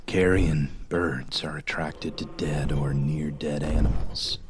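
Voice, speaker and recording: An actor playing a grave pastor in an audio drama, speaking in deliberate, ominous parables with a breathy, half-whispered edge. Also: male voice, a bass voice, fairly even intonation. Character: grave pastor warning of a crouching evil entity. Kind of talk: slow audio drama dialogue.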